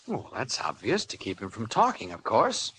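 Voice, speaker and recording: An actor playing an earnest, self-assured newspaper reporter who questions insistently in an old-time radio drama. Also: male voice, lowish voice, huge pitch swings, normal speed, fairly bright tone. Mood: certain, grave. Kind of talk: 1940s radio drama acting. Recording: old radio recording, faint noise.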